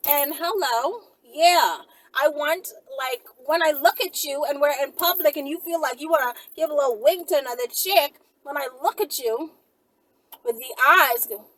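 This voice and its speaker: A woman's voice: high-pitched, normal speed, a bit bright, no room sound, low-quality recording. A woman hosting a casual question-and-answer session, speaking in a blunt, sassy way. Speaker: host answering viewer questions. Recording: faint background noise and room ambience.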